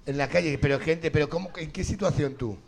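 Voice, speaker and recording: A man's voice: fairly high pitch, a little fast, slight background noise. A man hosting a live comedy game show, teasing and swearing as he jokes with the audience. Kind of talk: loose stand-up banter with the audience.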